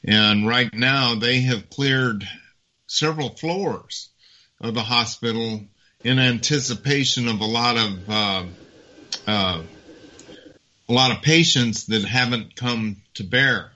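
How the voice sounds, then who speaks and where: A middle-aged man talking as a guest on a casual talk show, speaking haltingly with many fillers and repeats. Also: medium pitch, pushed and bright. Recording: dull lo-fi audio.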